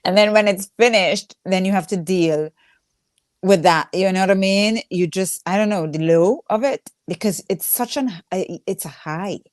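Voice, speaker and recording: A woman's voice: medium pitch, moderate pace, dry recording, clean studio sound. A woman with a thick Trinidadian accent speaking candidly in a casual interview as a stand-up comedian, acting out reactions as she tells stories.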